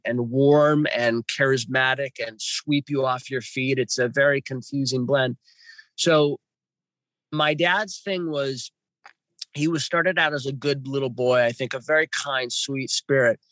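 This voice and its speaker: A man's voice: mid-range voice, fairly bright tone, dry recording. A man in his fifties from Los Angeles, telling his life story as a podcast guest in a rambling way, with restarts and many fillers. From Los Angeles, California. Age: 50s. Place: home with birds nearby.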